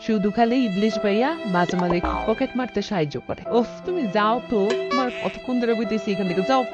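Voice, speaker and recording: A woman's voice: a little high, fast talker, close to an everyday voice. A woman voicing a bossy, sulky beggar woman in a comic cartoon, scolding in a colloquial Bangladeshi accent. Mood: bitter, complaining. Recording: music behind the voice. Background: music.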